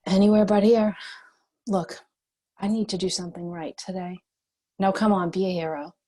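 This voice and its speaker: A woman playing a determined, defiant and compassionate young heroine in a screenplay table read, with a slightly husky voice. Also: medium pitch, steady tone, moderate pace, dark tone, natural voice. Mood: urging.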